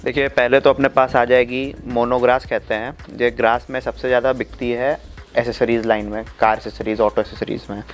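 Flat-voiced man in his thirties listing stock. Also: mid-range voice. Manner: matter-of-fact, listing products. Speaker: wholesale mat supplier. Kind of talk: product walkthrough. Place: indoor warehouse.

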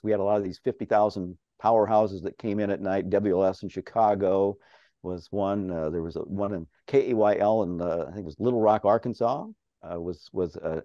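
Older man with a strong mellow voice. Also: low-pitched, dry recording. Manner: chatty, animated, laughs often. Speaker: interview guest, radio farm broadcaster. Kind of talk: casual storytelling. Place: home office. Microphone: video call. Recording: dull video-call audio.

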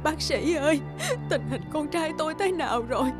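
Actress as wailing older mother, very high. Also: moderate pace, lightly acted. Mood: anguished. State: crying. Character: anguished elderly mother of a grown son. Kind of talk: cartoon dubbing. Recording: music under the voice.

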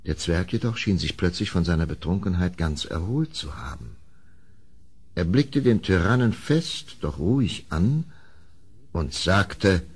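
A grave storyteller narrates an old horror radio play. His voice is very low and husky, with expressive, dramatic swings. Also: unhurried, exaggerated voice. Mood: suspenseful.

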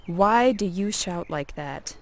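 A woman's voice: fairly low pitch, expressive. Composed lake goddess, played by a woman. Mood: calm concern. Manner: formal, measured.

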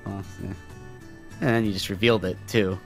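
A man's voice: on the low side, steady tone, brisk, soft background music, close to an everyday voice. A man playing an evasive, sarcastic supernatural deal-maker in an improvised game roleplay, often hesitant. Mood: sardonic, evasive.

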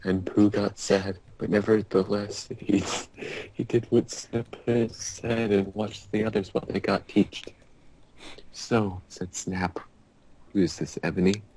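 Young man, deep voice, halting amateur story reader, computer mic on a group call with faint noise and clicks. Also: level tone, mellow, low-quality recording. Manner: halting, stumbling over odd wording. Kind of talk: amateur dramatic reading aloud among friends. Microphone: computer mic on a group internet call. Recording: hissy online group call, mouse clicks.

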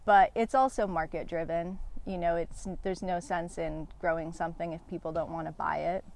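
A woman in her forties talks casually about her farm, her low voice carrying a gravelly edge. She speaks in a relaxed, candid way, recorded on a lapel mic in an outdoor garden. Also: moderate pace. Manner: relaxed and candid, with fillers and small laughs. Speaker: guest farmer. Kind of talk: casual interview answers.

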